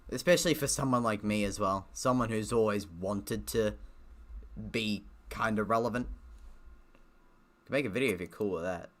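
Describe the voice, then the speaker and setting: Sharp-voiced male streamer, fairly low. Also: normal speed, long pauses, slight background noise. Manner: sweary, joking. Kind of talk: casual gaming commentary, reading visual novel text aloud.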